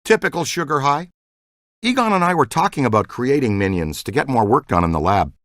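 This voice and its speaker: An actor playing a wisecracking ghost hunter in a video game, with a glib, joking manner. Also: male voice, mid-range voice, sing-song, fairly quick, a bit bright, no room sound, clean studio sound. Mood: wry.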